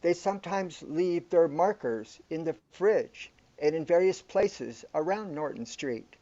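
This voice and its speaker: An actor playing a calm, all-knowing guide in a surreal sci-fi radio drama, explaining things in a formal, matter-of-fact way. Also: male voice, on the high side, measured pace, highs cut off.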